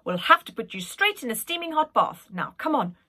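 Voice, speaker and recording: Woman acting a bright, bossy old nanny. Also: a little high, sing-song, fairly quick, slightly rough, voice pushed up, heavily acted. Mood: brisk, coaxing. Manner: fussing, emphatic, scolding affectionately. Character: plump, cheery, bossy old nanny in her eighties.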